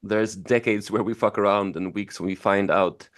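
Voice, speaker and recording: A man's voice: lowish voice, fairly even intonation, normal speed. Wry, laughing male co-host. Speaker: podcast co-host. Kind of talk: casual podcast chat.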